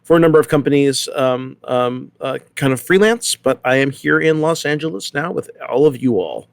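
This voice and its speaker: A man's voice: medium pitch, animated, normal speed, creaky, clear, resonant tone, no room sound, clean studio sound. A man from San Diego giving a casual, professional introduction as a panel guest. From from San Diego, United States. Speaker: theater director, panel guest.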